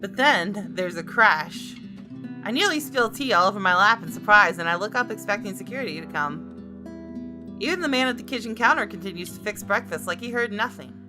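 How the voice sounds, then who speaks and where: A woman's voice: medium pitch, expressive, normal speed. A woman hosting a gaming video from a room at home, mixing informal commentary with reading game text aloud. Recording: faint music behind the voice.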